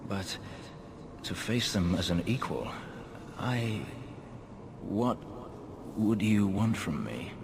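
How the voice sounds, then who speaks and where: An actor playing a brooding, guarded elf who escaped slavery, speaking in a measured, formal, wryly understated way in a video game. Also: male voice, lively intonation, noisy background. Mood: defiant, tempted. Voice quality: deep, low voice. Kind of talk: dramatic video game acting. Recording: long reverb, music behind.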